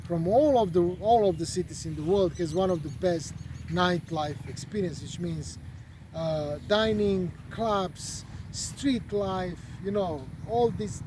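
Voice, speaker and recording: A man speaking English, talking casually about his travels and pausing often on fillers and repeated words. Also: sits high, background noise. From Croatia. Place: outdoors at a golf resort.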